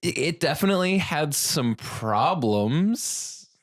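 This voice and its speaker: A man's voice: on the high side, lively intonation, slow, no echo. A man co-hosting a podcast in casual, unscripted discussion, with a dry, offhand and hesitant manner. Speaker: podcast co-host.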